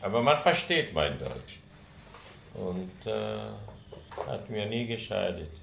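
An older man with a Czech accent, a native Czech speaker, speaking German haltingly in an interview. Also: lowish voice, sing-song, vocal fry, noisy background. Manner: halting, searching for words, frequent hesitations. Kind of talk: conversational interview. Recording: roomy room sound.

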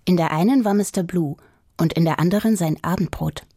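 A woman's voice: on the low side, fairly quick, dark tone, breathy edge, dry recording, clean studio sound. A woman reading a children's audiobook as a scripted story narrator.

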